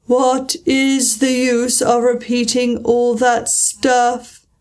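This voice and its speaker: A woman voicing the Mock Turtle, a weepy, melancholy male creature who talks and sings slowly between sobs. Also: fairly high pitch, completely flat delivery, a little mellow. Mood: plaintive. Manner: slow, drawn-out, sobbing song.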